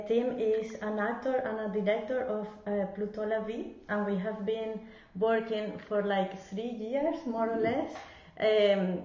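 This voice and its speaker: A woman hosting a live online show, speaking slowly and hesitantly with many pauses and ums. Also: mid-range voice, darkish tone, reverberant, dull audio.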